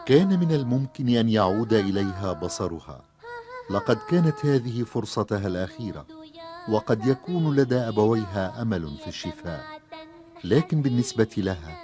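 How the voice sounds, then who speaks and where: An actor playing a sympathetic storyteller, narrating a children's cartoon in measured, formal, compassionate speech. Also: male voice, lowish voice, big pitch swings, over music. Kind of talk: scripted cartoon narration and dubbing.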